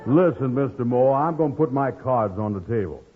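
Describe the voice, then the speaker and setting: A man acting in 1940s radio comedy, playing a gullible, blustering but soft-hearted fellow who hems and mangles his grammar. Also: medium pitch, lively intonation, close to an everyday voice. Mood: stubborn. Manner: slow-witted, hemming, mangling grammar. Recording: tinny, band-limited old radio recording.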